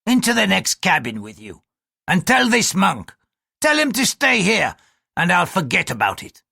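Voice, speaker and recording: A man's voice: sits high, wildly swooping pitch, moderate pace, gravelly, sharp tone, no room sound, studio quality, voice pushed up, exaggerated voice. A man voicing a crude, lecherous male ship's bosun who leers and threatens. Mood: threatening. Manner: coarse, leering, threatening.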